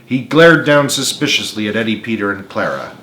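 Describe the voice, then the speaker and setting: A man's voice: fairly low pitch, very animated, fairly quick, pushed and bright, slight background noise. A man reading his own adventure story aloud as a dramatic, earnest narrator. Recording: roomy, echoey home recording.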